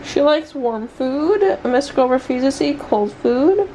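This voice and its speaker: A woman's voice: high-pitched, animated, takes it slowly, mellow, slight background noise, poor audio. A woman chatting casually in a vlog, rambling along in a self-deprecating way. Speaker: vlogger.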